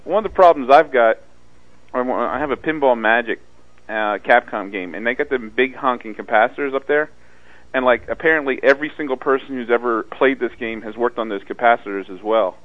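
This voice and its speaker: A man's voice: mid-range voice, fairly quick. A man with a South Philadelphia accent chatting casually as a caller over a muffled phone line. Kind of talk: casual chat.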